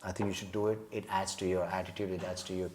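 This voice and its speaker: A man's voice: low-pitched, level tone, fast talker, darkish tone. A man, a guest telling rambling jokes in a casual chat and laughing often. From India. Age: 30s. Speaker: podcast guest.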